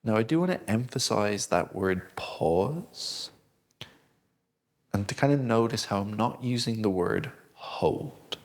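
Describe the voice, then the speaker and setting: A man gently guiding a breathing exercise, speaking slowly and soothingly. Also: fairly low pitch, animated. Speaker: breathing instructor. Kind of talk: guided breathing lesson.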